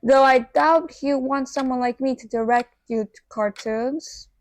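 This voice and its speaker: A woman's voice: high-pitched, a little slow, no room sound. A woman playing an overworked, modest human manager in a radio play, reserved and hesitant in delivery. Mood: modest, evasive. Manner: reserved, hesitant, slips into Spanish. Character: Ari, overworked, modest human woman running a cartoon studio. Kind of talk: fictional radio theater acting.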